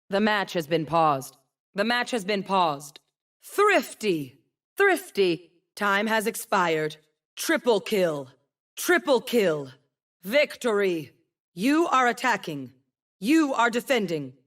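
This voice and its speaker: A woman's voice: mid-range voice, big pitch swings, slow pace, fairly bright tone. A woman voicing a poised game announcer, delivering short match calls in a clipped, authoritative manner. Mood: composed, emphatic. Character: poised female announcer of a tactical shooter game.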